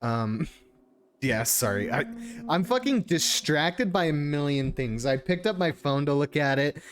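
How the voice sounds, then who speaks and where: A man's voice: a little high, lively intonation, a little slow. A man doing casual live-stream game commentary, irreverent, sweary and easily distracted. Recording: faint background noise.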